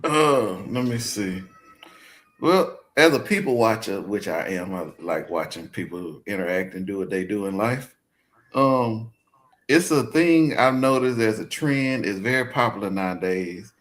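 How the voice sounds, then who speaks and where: A man in his forties, a guest on a roundtable talk panel, speaking in a calm and observant way. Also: lowish voice, measured pace. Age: 40s. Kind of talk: roundtable discussion.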